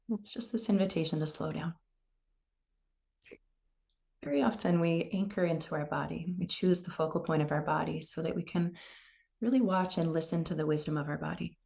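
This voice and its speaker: A woman leading a guided meditation in a gentle, unhurried, soothing manner. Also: lowish voice, vocal fry. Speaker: meditation teacher.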